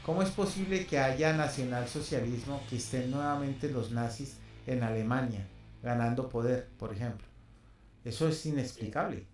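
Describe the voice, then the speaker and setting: A man's voice: mid-range voice, moderate pace, darkish tone. A man talking casually as a podcast co-host, colloquial and given to exclamations. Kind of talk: casual chat. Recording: roomy echo, music behind.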